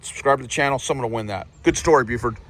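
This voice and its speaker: A man's voice: medium pitch, rapid-fire, a bit bright, a little hiss or hum. An American man hosting a casual vlog, chatting in a playful, teasing way. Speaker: host of the channel.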